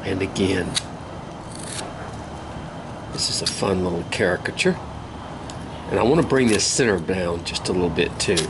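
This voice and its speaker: An older man with a hoarse voice from a cold, casually talking through a woodcarving demonstration in an easygoing, folksy way. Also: deep voice. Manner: easygoing, folksy, unhurried, with many pauses. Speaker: woodcarving instructor. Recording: steady outdoor ambient noise.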